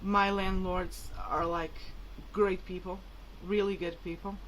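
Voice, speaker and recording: A woman's voice: lowish voice, little pitch movement, takes it slowly, a little hiss or hum, low-quality recording. A woman whose mother tongue is Portuguese, talking casually and hesitantly to viewers in a rambling, informal vlog. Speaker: vlogger.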